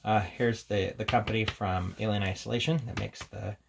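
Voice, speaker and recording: Low, creaky-voiced man, casual reviewer. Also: steady tone, normal speed, noisy background. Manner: informal, offhand. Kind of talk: casual hands-on book showcase commentary.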